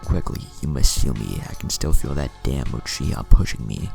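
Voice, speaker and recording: A man's voice: extremely low pitch, normal speed, creaky, a little mellow, soft background music, pitched below the performer's own voice, heavily acted. A man voicing a giant demon fox, urgent, commanding and defiant but honorable, in a story narration.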